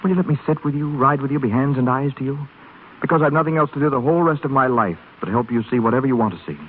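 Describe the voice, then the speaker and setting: An actor playing an earnest, guilt-ridden young gentleman secretly in love, with tender delivery in a 1930s radio drama. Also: medium pitch, racing, lightly acted. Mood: ardent, pleading. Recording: scratchy, phone-like 1930s radio broadcast recording.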